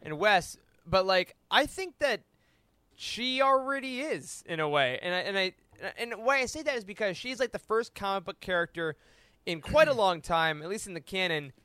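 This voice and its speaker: An American man talking casually as a panelist in a fan discussion, with an animated, joking, emphatic manner. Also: high-pitched, moderate pace, smooth, no echo. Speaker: podcast panelist.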